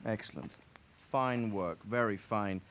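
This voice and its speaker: A stately medieval lord. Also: male voice, lowish voice, a bit bright. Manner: measured, noble. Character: stately middle-aged male lord, measured.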